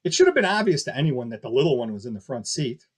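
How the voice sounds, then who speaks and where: Man narrating, slightly husky. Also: mid-range voice, big pitch swings, fast. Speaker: author or narrator reading aloud. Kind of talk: scripted fiction narration.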